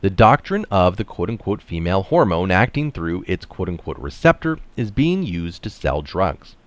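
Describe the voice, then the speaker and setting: A man's voice: deep voice, sing-song, normal speed, no room sound. A man reading an article aloud, stressing phrases and adding casual asides of his own. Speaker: article reader and commentator.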